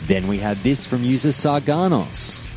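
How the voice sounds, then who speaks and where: A man hosting a podcast and giving his opinion in a measured, deliberate monologue with firm emphasis. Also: medium pitch, animated, a little mellow, faint music behind. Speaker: podcast host.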